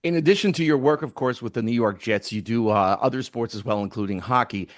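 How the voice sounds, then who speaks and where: A man's voice: mid-range voice, quick pace. An American man acting as interviewer in a casual conversation about sports.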